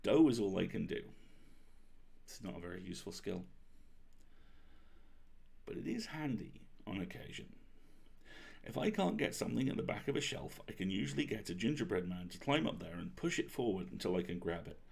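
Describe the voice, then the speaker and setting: A man reading as a young girl baker and wizard, chatty and self-deprecating, in an expressive confiding storybook manner. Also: deep voice, moderate pace, creaky, long pauses, slightly rough, exaggerated voice. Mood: wry, chatty.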